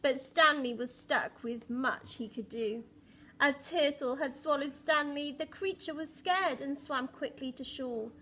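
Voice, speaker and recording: High-voiced woman reading to young children. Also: unhurried, a little hiss or hum. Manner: animated, dramatic emphasis, asks the listeners questions. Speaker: primary school teacher. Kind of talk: expressive picture-book reading for young children.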